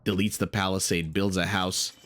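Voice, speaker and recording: A man with a raspy voice casting a strategy game match in an excitable, chatty way, full of asides and exclamations, into a desktop condenser mic. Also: sits low, normal speed. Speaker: game caster. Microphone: desktop USB condenser mic.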